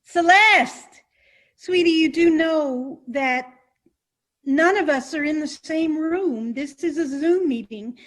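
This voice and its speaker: Casting: actress as bossy, orderly woman in farce, high voice, firm and patient with muddled colleagues. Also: slow. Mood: exasperated, soothing. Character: organized, bossy but patient woman board member. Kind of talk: farcical comedy acting.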